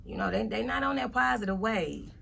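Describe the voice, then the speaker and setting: A woman's voice: on the high side, lively intonation, a little fast, creaky, a crowd in the background. A woman of thirty-one, speaking as a motivational guest in a casual interview, emphatically repeating her points.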